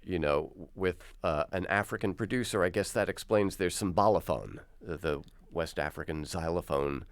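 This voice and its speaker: A man's voice: fairly low pitch, clear, resonant tone, no echo. An older man hosting a radio interview in a studio, asking curious questions. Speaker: radio host. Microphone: studio mic with headphones.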